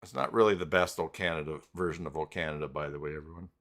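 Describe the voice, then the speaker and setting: A man hosting an unscripted live software tutorial, talking haltingly as he thinks aloud while working. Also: a bass voice, steady tone. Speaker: host of live tutorial stream.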